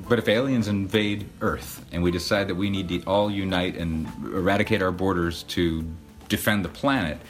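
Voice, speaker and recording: A man's voice: low-pitched, steady tone, normal speed, soft background music. A man interviewing, asking curious questions and thinking aloud.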